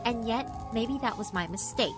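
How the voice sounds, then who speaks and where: Lady doing a sweet solemn ghost schoolgirl, high voice, horror visual novel, music underneath. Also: normal speed, natural voice. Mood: earnest, reproachful.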